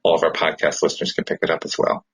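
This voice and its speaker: A man hosting a podcast in casual conversation, with a thoughtful, halting delivery. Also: a bass voice, completely flat delivery, slightly rough. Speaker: podcast host.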